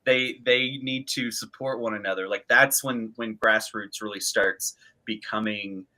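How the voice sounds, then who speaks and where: Bright-voiced man hosting a chat. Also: on the low side, steady tone, measured pace. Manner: earnest, thinking aloud with restarts. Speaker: podcast host, interviewer. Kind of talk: casual conversational interview.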